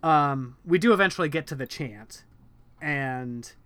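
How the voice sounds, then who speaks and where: A man with a bright, forceful, slightly high voice, recorded close and dry. He chats casually about a book, animated and full of ums and restarts. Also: unhurried, no room sound. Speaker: podcast co-host discussing a book. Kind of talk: casual conversational chat.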